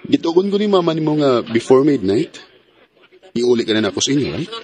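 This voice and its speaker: Matinahurong batan-ong lalaki sa drama sa radyo, giarte sa aktor. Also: male voice, mid-range voice, big pitch swings, brisk, a little mellow, natural voice. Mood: earnest. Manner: earnest, mixes English into his speech. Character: polite, dutiful young man escorting a young woman. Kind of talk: radio drama acting.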